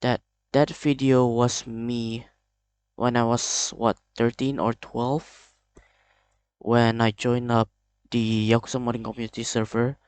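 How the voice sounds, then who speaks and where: A young man with an Indonesian accent, speaking English as a second language in a hesitant, halting confessional vlog full of restarts. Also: mid-range voice, little pitch movement, a little mellow, clean tone, no echo. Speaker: video creator.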